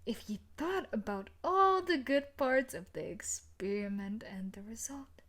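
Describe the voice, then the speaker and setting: A woman playing an obsessive, possessive young scientist in an audio roleplay, with breathy, coaxing threats and pleading. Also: fairly high pitch, animated, a little slow, fairly bright tone. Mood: quietly menacing. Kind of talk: audio roleplay acting addressed to the listener.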